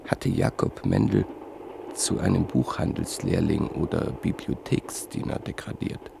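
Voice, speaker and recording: A man narrating a radio play in a measured, literary storytelling manner. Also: on the low side, gravelly, slight background noise.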